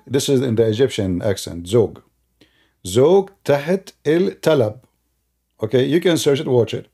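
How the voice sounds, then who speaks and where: Guy chatting loosely, lots of "you know"s, warm mellow tone. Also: lowish voice, gravelly, husky. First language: Arabic. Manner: loose, talky storytelling with non-native grammar and frequent fillers. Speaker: commentator. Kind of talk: casual commentary while showing a video on screen.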